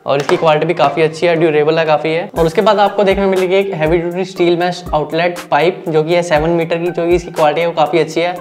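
A man's voice: on the high side, fairly even intonation, darkish tone, a little hiss or hum. A man casually explaining a product as he unboxes and demonstrates it for viewers. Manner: quick, plain explaining to viewers. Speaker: product reviewer. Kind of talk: casual unboxing and demo narration.

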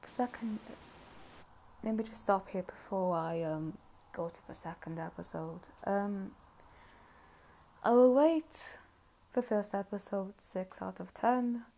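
A woman's voice: mid-range voice, dark tone. A woman giving casual, hesitant reaction commentary as a host, rambling and mildly critical. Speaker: video reviewer and host.

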